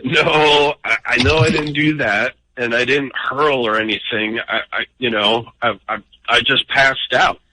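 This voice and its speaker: A man's voice: medium pitch, fairly even intonation, a little slow, few pauses, bright, forceful tone, poor audio. A man calling in to a radio show, telling a personal story casually in a hesitant, self-deprecating way. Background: background music.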